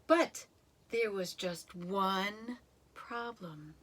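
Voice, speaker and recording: A woman reading a children's story aloud in an expressive, animated way, with dramatic emphasis and drawn-out words. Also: medium pitch. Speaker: storybook reader.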